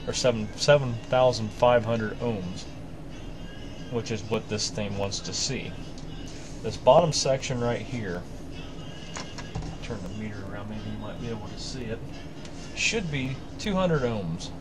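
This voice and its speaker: A man's voice: on the low side, a little slow, pauses often, background noise. A man giving an informal, hands-on repair walkthrough, thinking aloud in a folksy way as he goes. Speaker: host of a radio repair video.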